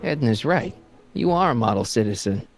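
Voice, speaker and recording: Lively skeptical teenage boy. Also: medium pitch, normal speed, gravelly, faint music behind. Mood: casual. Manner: questioning, casual, incredulous. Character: skeptical, casual teenage boy, friend of an inventor. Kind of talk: animated video game dialogue.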